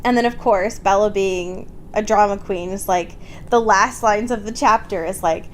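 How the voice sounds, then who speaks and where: A woman's voice: medium pitch, moderate pace, a little hiss or hum. A woman co-hosting a podcast, chatting casually and informally with a giggly manner. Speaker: podcast co-host. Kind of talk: casual chat and reading fan fiction aloud.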